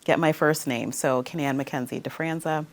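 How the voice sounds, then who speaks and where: A woman's voice: sits low, fairly even intonation, moderate pace. A woman from Guyana, an interview guest who speaks articulately and laughs easily. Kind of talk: casual interview.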